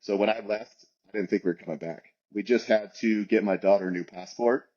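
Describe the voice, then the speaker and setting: Man, forties, low voice, rambling casual answers, lots of fillers, muffled lo-fi sound. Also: fairly quick, a little mellow. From United States. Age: 40s. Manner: rambling, many fillers and restarts. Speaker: guest. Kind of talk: casual interview talk.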